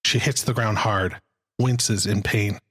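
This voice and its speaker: A man's voice: fairly low pitch, fairly even intonation, slow, fairly bright tone, husky. A man narrating screenplay stage directions in a dramatic scripted style.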